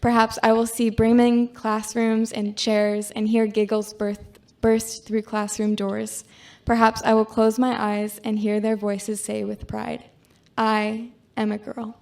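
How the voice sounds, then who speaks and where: Woman reading nonfiction, flat and gravelly. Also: a little high, a little slow. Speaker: student reader. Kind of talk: reading narrative nonfiction aloud.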